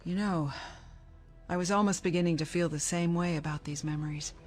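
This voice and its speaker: An actress plays a haunted, weary woman in an audio drama monologue. She speaks low and hushed, reflective and grave, with quiet music behind her. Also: steady tone, brisk, natural voice.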